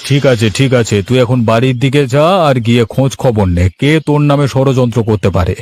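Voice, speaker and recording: A man voicing a kindly, authoritative older village headman in a Bengali cartoon story, with a measured, paternal manner. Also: medium pitch, a little mellow, voice pushed down, lightly acted. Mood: reassuring.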